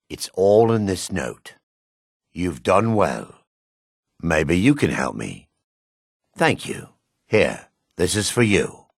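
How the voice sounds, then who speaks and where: An actor playing a gruff, suspicious middle-aged dark elf man in a fantasy video game, raspy and curt with a wary, sneering edge. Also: low voice, dry recording, clean studio sound, exaggerated voice. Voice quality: gravelly, raspy. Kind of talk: video game character barks.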